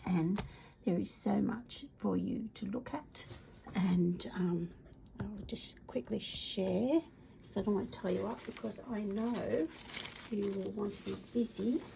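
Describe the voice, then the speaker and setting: Middle-aged Australian woman, stuffy and very gravelly, casual rambling craft demo, a bit of faint hiss behind. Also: medium pitch, rough recording. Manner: chatty, rambling, many ums, often soft and whispery. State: congested, voice worn from a cold. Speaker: craft product demonstrator. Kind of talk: casual craft video chat.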